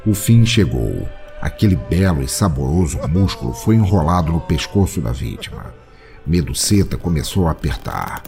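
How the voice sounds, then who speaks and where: A man's voice: rumbling low, big pitch swings, normal speed, gravelly, warm tone. A man with a Brazilian accent narrating a comic audio drama in a theatrical, mock-literary manner. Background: music.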